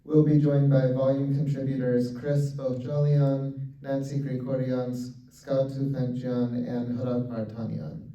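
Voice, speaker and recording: A man's voice: medium pitch, level tone, unhurried, hardly any pauses, warm tone. A man hosting a literary event, giving casual announcements with many fillers. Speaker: event organizer and host. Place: event hall. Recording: echoey hall, distant muffled mic.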